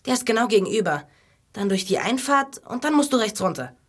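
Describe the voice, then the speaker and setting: An actress in a German radio drama playing a casual, plucky youngster with a matter-of-fact manner. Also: female voice, fairly high pitch, big pitch swings, brisk, very noisy. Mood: casual, helpful.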